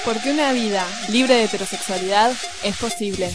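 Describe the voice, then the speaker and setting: A woman's voice: mid-range voice, fairly quick, background noise. A woman reading scripted slogans as the promo voice of a radio show. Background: music.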